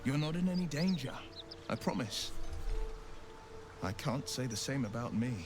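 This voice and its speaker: A man's voice: medium pitch, animated, normal speed, music in the background, lightly acted. A man playing a proud, penitent monster-hunting hero in a video game, speaking in a formal, eloquent, theatrical way. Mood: brooding. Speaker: video game companion character. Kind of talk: dramatic video game voice acting. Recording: game audio with clear background noise.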